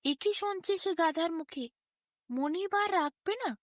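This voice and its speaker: A woman's voice: very high-pitched, a little slow, no room sound, studio quality. A woman storyteller narrating a bedtime tale expressively, in dramatic short phrases.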